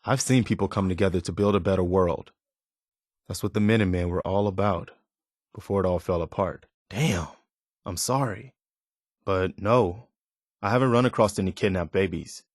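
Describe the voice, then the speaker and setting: Earnest, low-voiced wasteland militia leader. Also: male voice, dry recording, clean studio sound. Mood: resolute, then somber, sympathetic. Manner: earnest, measured, sincere. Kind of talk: video game character dialogue.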